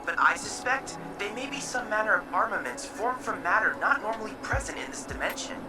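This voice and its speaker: A woman voicing a composed, analytical scholar in a game, explaining in precise, formal, measured speech. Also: mid-range voice, expressive, far from the mic, music in the background, close to an everyday voice. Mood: thoughtful. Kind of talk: video game cutscene acting.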